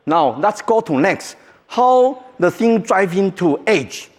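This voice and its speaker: A man's voice: high-pitched, big pitch swings. Taiwanese-accented man in a reverberant hall. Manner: joking, halting, non-native phrasing. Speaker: keynote speaker. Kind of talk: conference keynote talk. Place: large conference hall.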